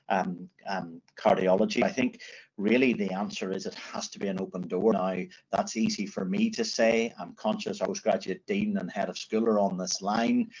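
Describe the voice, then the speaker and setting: A man's voice: low-pitched, darkish tone, slightly rough, low-quality recording. A man giving a formal opinion as a surgeon in an online meeting.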